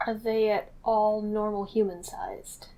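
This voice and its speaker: A woman's voice: medium pitch, little pitch movement, measured pace, mellow, rough recording. A woman playing a tabletop game, making casual remarks and questions.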